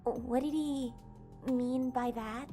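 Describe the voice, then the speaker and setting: A woman's voice: sits high, steady tone, slow pace, dark tone, faint music behind. An actress playing a feisty, awkward young girl with a casual, dryly sarcastic manner in an audio drama. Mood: wry, unsure.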